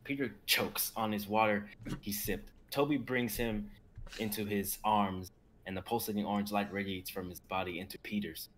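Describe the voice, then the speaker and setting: A man's voice: on the low side, fairly even intonation, moderate pace, a bit bright, slight background noise. A man in his twenties narrating a drama script, sometimes stumbling over the text, on home video-call audio. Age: 20s. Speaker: narrator reading stage directions.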